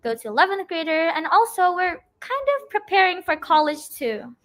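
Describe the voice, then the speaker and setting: Casting: teen girl host, high bright voice, gushing and eager. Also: sing-song, normal speed. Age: teens. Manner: eager, effusive praise. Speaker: student host. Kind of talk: casual interview chat.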